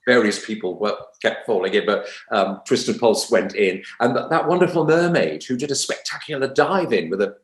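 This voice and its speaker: Low-voiced man, roomy echo. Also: animated, fairly quick, hardly any pauses. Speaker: pantomime performer in conversation. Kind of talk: casual reminiscing chat. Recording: roomy, echoing sound.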